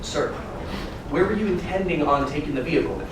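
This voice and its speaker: A male actor gives us a commanding police officer in a stage play reading. The voice is high and insistent, issuing stern orders. It comes from far off in a very echoey, noisy hall. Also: expressive, brisk, lightly acted.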